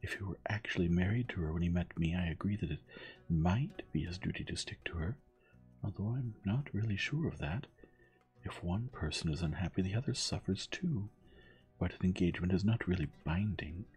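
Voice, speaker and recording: A man's voice: deep voice, expressive, brisk, darkish tone, breathy edge, soft background music, voice pushed up, full character voice, rough recording. A man doing a proud, rattled rich young woman. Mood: defensive.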